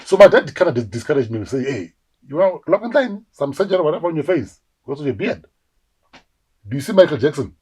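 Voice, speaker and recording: A South African man in his forties, an actor telling animated stories in a casual interview and acting out the voices of people he quotes. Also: fairly high pitch, fast, husky. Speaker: actor guest.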